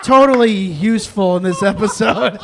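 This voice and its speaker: A man's voice: high voice, moderate pace, vocal fry, a crowd in the background, noisy background. A man in a reading cast chatting casually after the show, joking and laughing as he talks. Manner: joking, laughing through talk. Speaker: table read cast member. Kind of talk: casual post-show chat. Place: live table read at an arts centre.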